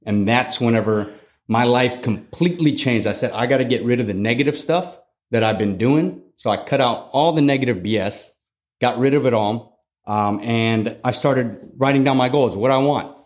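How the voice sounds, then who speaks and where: A man's voice: medium pitch, moderate pace. A middle-aged man from Texas, a guest in a casual interview, telling his life story in an earnest, reflective way.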